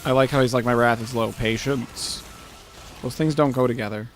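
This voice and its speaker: A man co-hosting a gaming video with casual, tongue-in-cheek commentary and deadpan explanations. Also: medium pitch, moderate pace. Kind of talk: casual bantering game commentary. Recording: faint room noise, game sounds behind.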